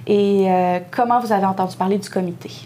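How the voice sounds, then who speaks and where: Mellow-voiced young woman interviewer. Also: mid-range voice, fairly even intonation, fast, a little hiss or hum. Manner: plain, conversational questioning. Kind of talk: studio TV interview. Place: small TV studio. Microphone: lapel.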